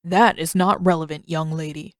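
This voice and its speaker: A woman's voice: low-pitched, steady tone, normal speed, no room sound, clean studio sound, voice pushed down, natural voice. A woman voicing a stern, suspicious old man who speaks formally and stiffly in an audiobook-style story.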